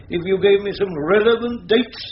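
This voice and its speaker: An actor playing a stern, pedantic older English judge in a radio drama, clipped and reproving. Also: male voice, sits high, normal speed, lightly acted. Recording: dull radio recording with hiss.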